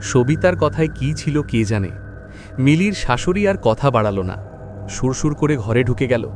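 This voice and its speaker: A man's voice: mid-range voice, expressive, normal speed, over music. A man narrating a scripted horror story in a dramatic storytelling style.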